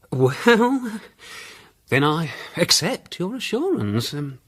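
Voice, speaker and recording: An actor playing a mild, hesitant gentleman club member in a radio drama. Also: a little high, husky, heavily acted. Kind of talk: British radio drama acting.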